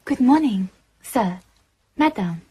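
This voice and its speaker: An actress playing a sweetly polite, deferential young maid with a breathy voice in a radio drama. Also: female voice, a little high, expressive, slow pace, mellow, a little hiss or hum.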